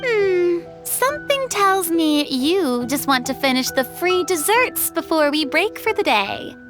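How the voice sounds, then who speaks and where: A woman's voice: very high voice, sing-song, unhurried, full character voice. A woman voicing a polite, timid young woman in a fantasy game, earnest and a little hesitant. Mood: gently teasing. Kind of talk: video game character acting. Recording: background music behind voice.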